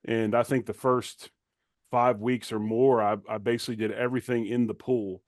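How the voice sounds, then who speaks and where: Man, middle-aged, informal sports talk, plain-spoken, mid-range pitch, dry close sound. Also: level tone, moderate pace, a little mellow, dry recording. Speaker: guest, former football player turned sports commentator. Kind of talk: conversational sports analysis.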